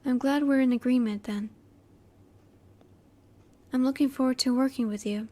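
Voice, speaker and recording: A woman voicing a curious, reserved female alien scientist in a roleplay audio, speaking politely in formal, measured, halting phrases. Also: high-pitched, fairly even intonation, dark tone, natural voice. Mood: quietly pleased. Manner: formal, measured, precise wording with halting pauses. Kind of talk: scripted roleplay audio, spoken intimately to the listener.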